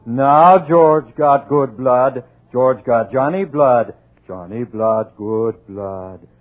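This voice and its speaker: Man as brutish simple-minded killer, very slow, broken childlike talk, old radio drama, music behind. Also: medium pitch, natural voice, low-quality recording. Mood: gloating. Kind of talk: 1940s radio acting.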